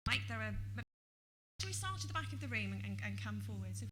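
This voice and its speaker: A woman's voice: medium pitch, very animated, fairly bright tone. Woman chairing a discussion. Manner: brisk, organizing. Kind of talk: moderating a discussion.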